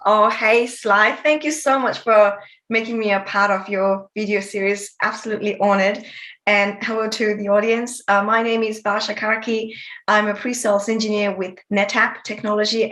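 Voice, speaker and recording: Nepal-born woman guest, thirties, friendly, lots of ums, mid pitch, echoey room. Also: steady tone, normal speed, fairly bright tone. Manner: friendly, with many fillers and restarts. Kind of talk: casual interview answers. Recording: roomy echo.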